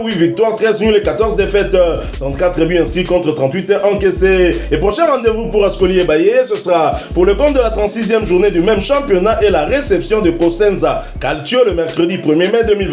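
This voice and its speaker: A man's voice: high voice, darkish tone, echoey room. A man presenting a football news bulletin in a sing-song reading cadence, with fillers and hesitations. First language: not English.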